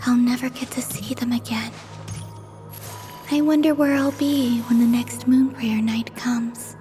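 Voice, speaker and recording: An actress playing a gentle, wistful young moon maiden in a video game, speaking in a soft, earnest, delicate way. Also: female voice, a little high, fairly even intonation, unhurried, over music, close to an everyday voice. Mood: wistful, hushed. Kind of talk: video game cutscene voice acting.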